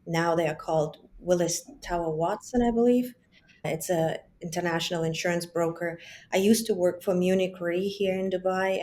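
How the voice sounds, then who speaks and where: A woman's voice: on the low side, a little mellow, slight background noise. A woman in her forties, a psychologist who grew up in Germany, speaking reflectively as an interview guest over a remote call from home. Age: 40s. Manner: reflective, with frequent restarts and asides. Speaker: psychologist and business founder, guest. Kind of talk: casual interview conversation. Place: home, children in the background.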